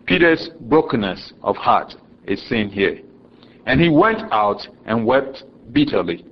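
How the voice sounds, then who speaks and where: A man from Ghana with a Ghanaian accent, preaching a sermon slowly and deliberately, chuckling often and dropping low for solemn lines. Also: sing-song, lo-fi sound.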